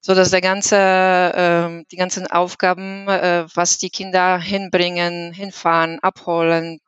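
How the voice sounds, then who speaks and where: A woman's voice: lowish voice, flat delivery, unhurried, clear, resonant tone, no echo. A woman from Poland with a Polish accent, speaking as a guest on a podcast with lots of fillers and restarts. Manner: hesitant, many fillers and restarts. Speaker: employee guest. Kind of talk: podcast conversation. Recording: dull lo-fi sound.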